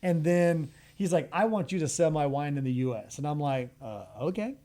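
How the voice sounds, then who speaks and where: A man's voice: fairly high pitch, normal speed. A man from Texas, a guest on a community radio show, chatting casually and acting out quoted dialogue as he tells stories, with frequent restarts. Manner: animated storyteller who acts out quoted dialogue, stumbles and restarts. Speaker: wine bar owner, guest. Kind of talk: casual radio interview chat. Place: radio studio.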